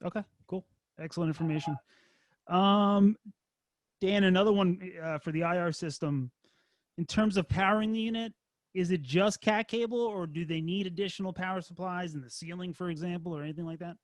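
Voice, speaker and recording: A man's voice: sits high, a little fast, no room sound. A man moderating a webinar, speaking in a casual, informal and encouraging way.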